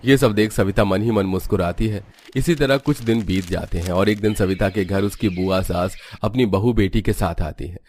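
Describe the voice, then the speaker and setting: A man's voice: lowish voice, normal speed, hardly any pauses, a little mellow, a little hiss or hum. A man reading scripted narration for a story as its narrator.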